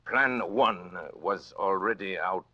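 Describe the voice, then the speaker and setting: An actor playing a suave, conceited criminal mastermind in an old-time radio drama, speaking with unhurried, pompous smugness. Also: male voice, sits low, noisy background. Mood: measured, confiding. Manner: pompous, unhurried, smug and fastidious. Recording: muffled old radio recording.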